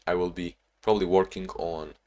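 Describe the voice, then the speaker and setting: A young man talking in a casual, reflective diary-style vlog, halting with frequent restarts and sounding a bit sleepy. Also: deep voice, fairly even intonation, a little mellow. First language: not English; Portuguese not first language either. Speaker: vlogger.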